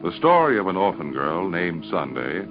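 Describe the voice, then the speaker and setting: Low-voiced American radio announcer, sweeping intonation. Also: male voice, very animated, normal speed, over music. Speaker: radio serial announcer and narrator. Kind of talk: old-time radio scripted narration and ad reading. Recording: dull, band-limited vintage radio recording.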